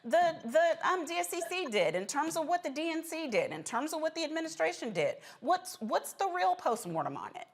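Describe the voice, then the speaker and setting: A woman with a fairly high voice moderates a political panel. She talks off the cuff in a pointed, questioning way, pressing the guests on their views. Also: big pitch swings, brisk, hardly any pauses. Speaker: panel moderator and show host. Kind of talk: unscripted political discussion.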